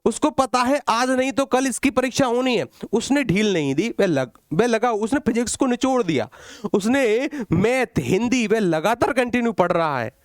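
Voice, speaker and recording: A man teaches exam candidates in a distinctly high-pitched voice. He addresses students directly and conversationally, driving points home through questions and repetition. The sound is dry, with very little room echo. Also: expressive, normal speed. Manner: asks questions and repeats the answers for emphasis. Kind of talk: exam-update lecture to students.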